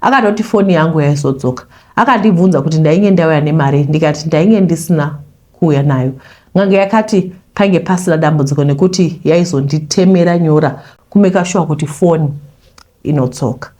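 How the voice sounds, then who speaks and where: A Zimbabwean woman reading a listener's confession aloud and commenting on it, mixing Shona and English in an animated way. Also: low voice, brisk.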